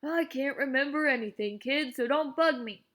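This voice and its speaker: A woman reading a storybook and voicing a crabby old war veteran who barks in a gruff, dismissive manner. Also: sits high, moderate pace, a bit bright. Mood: irritated. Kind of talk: storybook character voice.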